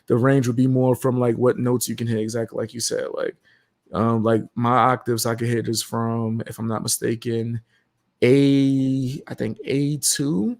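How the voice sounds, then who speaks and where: A man's voice: medium pitch, level tone, normal speed, creaky, darkish tone. A man in casual chat about home recording, laid-back and slangy, swearing freely. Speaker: streamer.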